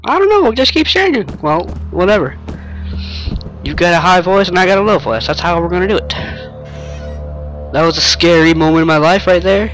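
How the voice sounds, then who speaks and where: A man's voice: on the high side, steady tone, normal speed, pauses often, a bit bright, faint music behind, low-quality recording. Jumpy young man narrating a horror game. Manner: jumpy, jokey, talks to an imaginary sidekick. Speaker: game streamer. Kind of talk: horror game let's play commentary. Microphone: headset.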